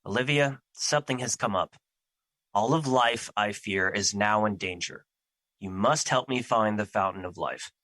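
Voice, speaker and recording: A bright-voiced, solemn brooding immortal. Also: male voice, fairly low pitch, normal speed, no echo. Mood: urgent. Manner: formal, grave, theatrical diction. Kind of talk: audio drama acting.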